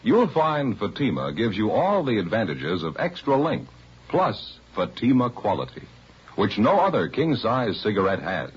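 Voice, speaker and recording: A man with a husky voice delivering an emphatic, scripted 1950s radio advertisement. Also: on the low side, big pitch swings, moderate pace. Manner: emphatic salesmanship. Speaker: commercial announcer. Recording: muffled vintage radio recording.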